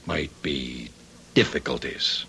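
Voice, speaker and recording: Nervous careful shop owner, very low gravelly voice, evasive, old radio play. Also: male voice, slow, pushed and bright, exaggerated voice. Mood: wary, evasive. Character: wary, evasive man, intimidated small businessman. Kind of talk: old-time radio drama acting.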